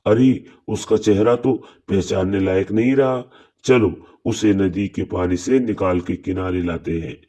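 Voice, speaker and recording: Man playing grave village fisherman, slow and low. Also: fairly even intonation, mellow. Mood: grave, uneasy. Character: village fisherman taking charge, grave and uneasy.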